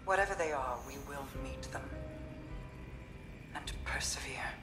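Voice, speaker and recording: An actress playing a regal, composed queen and strategist in a video game, measured, formal and aloof. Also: rumbling low, reverberant. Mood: resolute. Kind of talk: scripted video game dialogue. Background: music.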